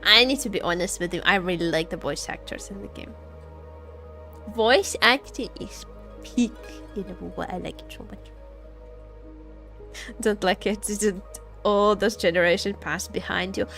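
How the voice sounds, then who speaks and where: A woman's voice: medium pitch, normal speed, pauses often, pushed and bright. A woman game streamer chatting casually and playfully over gameplay, often repeating her phrases. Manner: playful, repeats phrases, teasing role-play as a vampire. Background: video game music.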